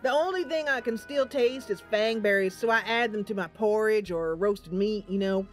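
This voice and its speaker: A woman's voice: mid-range voice, moderate pace, natural voice. A woman voicing an eccentric old male herbalist in a game roleplay, rambling in a folksy, theatrical way. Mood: rueful, confiding. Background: game music.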